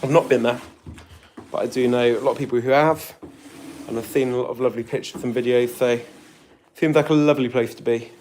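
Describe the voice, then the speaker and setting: A man's voice: mid-range voice, steady tone, fairly quick, darkish tone. Chatty British man live-streaming. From Britain. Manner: chatty, reads and answers viewer comments. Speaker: vlogger. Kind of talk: casual live-stream chat while cleaning. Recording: roomy home recording with faint noise.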